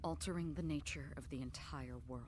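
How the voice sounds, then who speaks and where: Woman voicing composed young heiress, anime game, deep for a woman, formal, serious, measured delivery. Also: background noise, lightly acted. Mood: solemn, explanatory. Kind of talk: English anime game voice acting. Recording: music under the voice.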